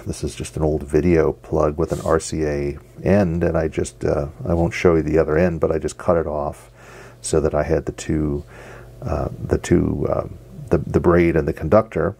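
A middle-aged man, giving a casual hands-on demonstration in a halting, unscripted way. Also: a bass voice, creaky, mellow, slightly rough. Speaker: radio hobbyist presenter. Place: basement radio workshop, indoors. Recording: noisy home basement recording.

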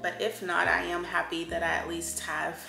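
A woman with a sore throat talks through her holiday decor finds in a relaxed, chatty vlog tone. Her voice sits low and has a gravelly fry. The room is roomy and echoey, and music plays underneath. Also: moderate pace. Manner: chatty, enthusiastic, filler-heavy. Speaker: home decor vlogger. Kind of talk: casual haul chat. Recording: roomy echo with background music.

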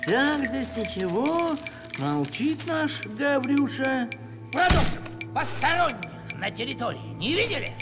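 A man's voice: very high voice, very animated, slow, music in the background, full character voice. A man voicing a pompous, fussy older village postman in a cartoon, officious and theatrical in his delivery. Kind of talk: animated cartoon acting.

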